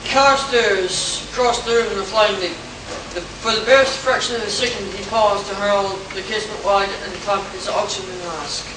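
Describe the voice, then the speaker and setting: Man as a high-voiced, theatrical pulp narrator. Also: fairly even intonation, moderate pace, few pauses, lightly acted. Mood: dramatic, grave. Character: dramatic pulp science fiction story narrator. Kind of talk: amateur live radio play narration. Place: live convention stage, indoors. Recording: echoey, distant, noisy hall recording.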